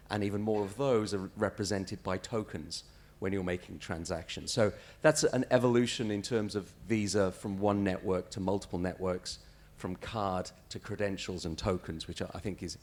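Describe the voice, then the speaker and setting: A man with an Australian accent speaking on a conference panel, answering in a measured corporate way with small restarts. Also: sits low, a little mellow. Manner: measured, corporate, frequent self-corrections.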